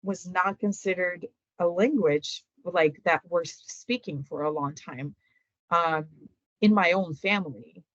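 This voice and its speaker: A middle-aged woman from Ukraine, a native Ukrainian speaker, talking casually as an interview guest and pausing often with fillers and restarts. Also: on the low side, no echo. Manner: hesitant, many fillers and restarts. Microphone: video call. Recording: dull lo-fi video call audio.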